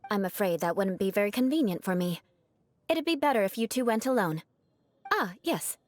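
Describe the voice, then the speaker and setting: A woman's voice: medium pitch, expressive, moderate pace, no room sound, natural voice. Woman playing a haughty, lilting young knight. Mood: composed, polite. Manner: haughty, formal, teasing. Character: proud young noblewoman knight, aloof, teasing, mock-vengeful. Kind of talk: video game voice acting.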